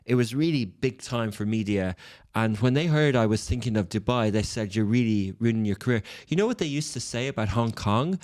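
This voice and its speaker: A man in his thirties with an Irish accent, a podcast guest calmly recounting his career in a measured, reflective way. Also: lowish voice, dry recording, studio quality. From Ireland. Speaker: podcast guest, media founder. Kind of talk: conversational interview.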